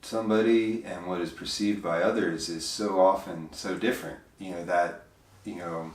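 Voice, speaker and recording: A man with a big, deep voice, speaking as an interview guest in a reflective, hesitant way, full of fillers and restarts. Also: fairly even intonation, normal speed, recorded from a distance. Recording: roomy, voice from off mic.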